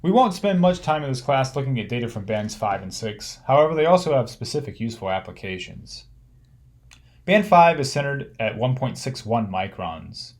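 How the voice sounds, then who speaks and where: A man with a medium-pitched voice delivers a narrated slide lecture. His manner is instructional and explanatory. He phrases each point in a measured way, as a lecturer does for a class. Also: very animated, darkish tone.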